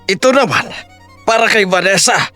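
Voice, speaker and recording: A man's voice: sits high, lively intonation, moderate pace, pushed and bright, soft background music. A man playing a stern, wealthy old father in a Filipino audio drama, controlled, menacing and protective. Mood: vengeful menace.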